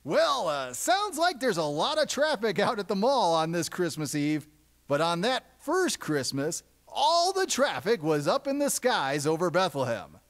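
A man voicing an animated, exasperated radio DJ puppet with theatrical reactions. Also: high voice, a little slow, exaggerated voice. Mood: smooth announcing. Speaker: radio DJ host. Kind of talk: comic puppet-show radio patter.

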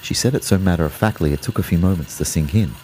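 An actor playing a reflective, concerned young man, telling his story in a measured, understated way in an audio drama. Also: low voice, dark tone, a little hiss or hum, close to an everyday voice. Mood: sombre, reflective. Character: reflective, concerned young boyfriend, a fitness fanatic. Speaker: narrator and lead character. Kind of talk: first-person audio drama narration and dialogue.

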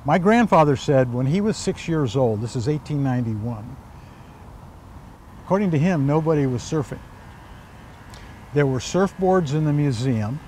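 A man's voice: on the high side, moderate pace, pauses often, a little hiss or hum. A man in his sixties from Hawaii, telling family stories as an interview guest outdoors, gesturing as he talks. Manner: animated storyteller, gestures while talking. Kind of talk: storytelling in a conversational interview. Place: outdoors on palm-lined grounds by a church.

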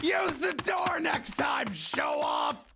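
Very gravelly grumpy old man. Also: extremely high pitch, huge pitch swings, slow, raised voice, over loud music, exaggerated voice. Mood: grumpy, mocking. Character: grumpy, sarcastic, gruff old man, a great-uncle.

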